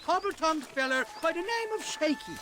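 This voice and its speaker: A man's voice: extremely high pitch, expressive, brisk, background noise. A man playing a cocky, boastful Irish schemer with an Irish accent in a Western video game. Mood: assuring. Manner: boastful, blustering. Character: cocky, fast-talking Irish schemer in the Old West.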